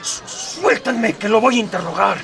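A woman's voice: mid-range voice, animated, moderate pace, fairly bright tone, a faint crowd. A woman playing a zealous, hot-tempered imperial security officer who gives imperious orders in a radio serial. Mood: commanding. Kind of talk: dramatic radio serial acting. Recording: distant voice over clear music.

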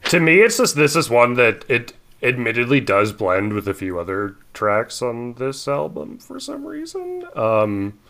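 A man cohosting a music podcast, talking casually from his room in a rambling, laid-back way, trailing off quietly. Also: medium pitch, wildly swooping pitch, a little slow, gravelly, few pauses. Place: home room.

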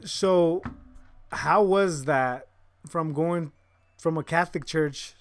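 A man hosting a podcast in casual chat, relaxed and joking, laughing often. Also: fairly high pitch, slow, no echo, faint music behind. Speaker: podcast host.

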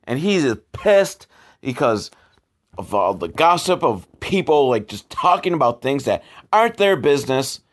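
A man's voice: medium pitch, very animated, measured pace. A man hosting a casual comedic commentary on old films, with a sarcastic, profane way of talking. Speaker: host reviewing old educational films.

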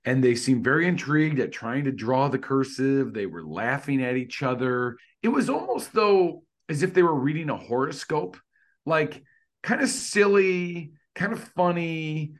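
A man's voice: a little high, lively intonation, a little slow. A middle-aged man co-hosting a casual discussion, musing tentatively with lots of fillers. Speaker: podcast co-host.

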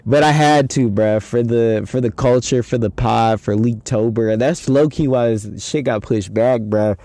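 A man's voice: medium pitch, moderate pace, warm tone, dry recording. A young man hosting a casual solo podcast, talking in a loose, rambling, slangy way with a stuffy nose from a head cold. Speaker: podcast host.

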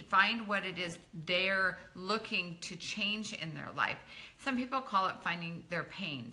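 A middle-aged woman running a live training session as a network marketing coach, talking in an encouraging, chatty way and greeting viewers by name. Her voice is a little croaky. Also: medium pitch, sharp tone. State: slightly croaky from talking a lot.

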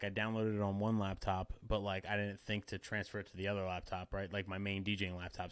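A man's voice: fairly low pitch, no echo. Laid-back man in his thirties, swearing freely. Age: 30s. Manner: laid-back, swears a lot, loud bursts when excited. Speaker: DJ and podcast guest. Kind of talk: casual chat, storytelling.

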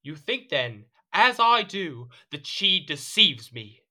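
Petulant, sharp-voiced, animated jealous king. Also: a little high, slow pace, heavily acted. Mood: suspicious. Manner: imperious, indignant, theatrical. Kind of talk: audio drama period acting.

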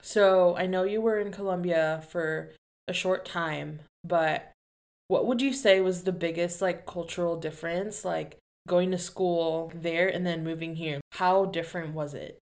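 A woman's voice: fairly low pitch, steady tone, unhurried. A young woman from the Philippines, a podcast co-host chatting in a giggly, easygoing way. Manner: giggly, chatty, lots of fillers. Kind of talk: casual chat.

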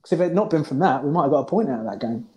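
A man's voice: fairly high pitch, animated, rapid-fire, a little mellow. A man, a former head coach, talking earnestly about past matches in a casual chat, often restarting his sentences.

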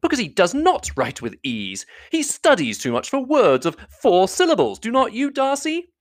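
A reader acts out an amiable young gentleman from a classic novel. The speech is animated and good-humoured, with a lively, swinging melody and an impulsive, self-mocking temper. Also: mid-range voice, fairly quick, dry recording, clean studio sound, voice pushed up, close to an everyday voice. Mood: breezy. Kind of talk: classic novel audiobook dialogue.

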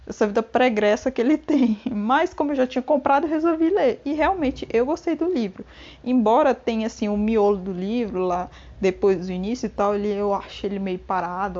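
A woman's voice: medium pitch, darkish tone. Brazilian woman rambling about a book, gossipy, laughs a lot, kinda dull lo-fi audio. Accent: Brazilian Portuguese. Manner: chatty, rambling with many restarts and fillers. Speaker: book vlogger. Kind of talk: casual chat. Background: rain and thunder.

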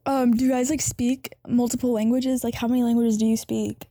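A teenage girl hosts a podcast, talking in a friendly, reflective way. Her voice sits slightly high and soft. The recording is close and dry. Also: level tone, fairly quick, dry recording. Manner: friendly, thoughtful, hedging with "like". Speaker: podcast host. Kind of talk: casual chat.

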